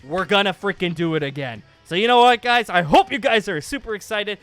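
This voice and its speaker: A man giving a casual gaming channel update as host, rambling along in an excitable, emphatic way. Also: high-pitched, fairly quick. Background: background music.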